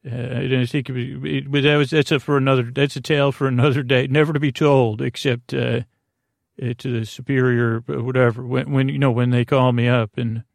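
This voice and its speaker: A man hosting a bedtime podcast, rambling in a halting, soothing, reassuring way that meanders off into tangents. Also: mid-range voice, level tone, gravelly, no room sound, studio quality. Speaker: podcast host. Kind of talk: rambling bedtime story monologue.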